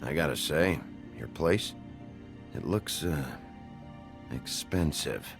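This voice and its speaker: A gruff, tired fairytale investigator in a noir game. His low, creaky voice moves expressively through dry, blunt lines, with music playing behind him. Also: animated, takes it slowly, heavily acted. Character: weary, gruff hard-boiled fairytale investigator, adult man. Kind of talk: noir video game voice acting.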